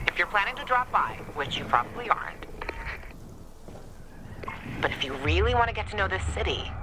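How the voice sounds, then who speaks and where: A woman's voice: medium pitch, sing-song, moderate pace, background noise, natural voice. An actress playing a streetwise, teasing woman ally in a video game, with a dry manner.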